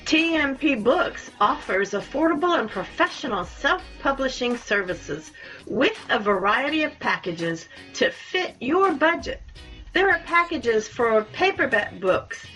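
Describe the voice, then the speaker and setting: A woman's voice: fairly high pitch, a bit bright, poor audio. A woman hosting a radio show, chatting and reading scripted announcements. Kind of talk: friendly interview sign-off and scripted sponsor reads.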